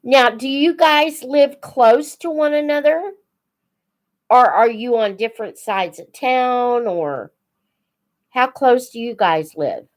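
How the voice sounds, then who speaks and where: An older woman chatting casually as a live host for young viewers, in an unhurried, reflective, folksy manner. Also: fairly high pitch, lively intonation. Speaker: host of a children's book read-aloud channel.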